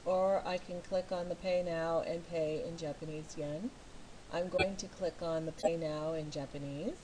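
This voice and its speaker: A woman's voice: on the low side, darkish tone, a little hiss or hum, rough recording. Patient American woman walking through a form. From California. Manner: slow, patient, spells out each step. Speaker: online English teacher. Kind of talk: screen walkthrough tutorial.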